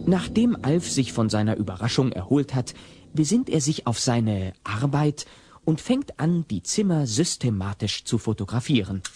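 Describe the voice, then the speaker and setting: A man narrating a scripted audio play as an expressive storyteller. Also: mid-range voice, normal speed. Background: loud music.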